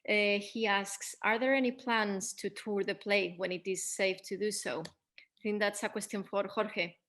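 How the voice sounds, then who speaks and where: A woman moderating a post-show theatre Q&A as host, speaking in halting, repetitive phrases. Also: mid-range voice, fairly even intonation, fairly bright tone.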